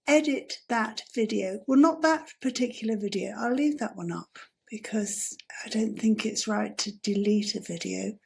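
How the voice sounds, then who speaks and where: A woman's voice: on the high side, gravelly. A woman, chatting casually to camera on her own channel with a dry, self-deprecating, rambling manner. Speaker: YouTuber. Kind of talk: casual vlog chat to camera.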